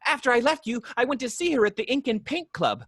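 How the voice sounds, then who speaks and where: A woman acts out a naive, excitable male cartoon rabbit with a high voice that leaps around as it pleads. The sound is dry and close, like a script read at home. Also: fast talker, breathy edge, dry recording. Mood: earnest chatter. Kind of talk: amateur table read of a film script.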